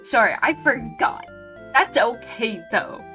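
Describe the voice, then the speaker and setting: A woman's voice: a little high, expressive, slow, bright, forceful tone, faint music behind. A woman streaming a game with casual live commentary, chatty, gushing and self-deprecating. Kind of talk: casual live commentary while reading a visual novel.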